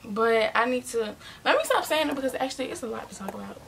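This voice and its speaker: Chatty nineteen-year-old woman with a gravelly edge. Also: on the high side, lively intonation, quick pace, slight background noise. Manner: chatty, rambling, restarts and self-corrections, drops into quiet asides. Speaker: vlogger. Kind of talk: casual Q and A talking to viewers. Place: bedroom, indoors, small.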